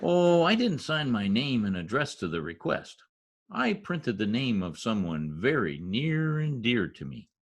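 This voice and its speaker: Guy doing an eager teen schoolboy for radio comedy, voice jumps all over, bit husky, very earnest. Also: medium pitch, measured pace. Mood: gleefully scheming. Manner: gushing, earnest, excitable. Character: eager, mischievous teenage schoolboy with a florid vocabulary. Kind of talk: old-time radio comedy acting.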